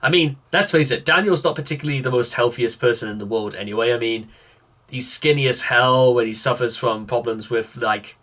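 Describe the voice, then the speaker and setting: A man's voice: medium pitch, brisk, a bit bright. A man giving off-the-cuff reaction commentary, musing aloud in an exasperated way.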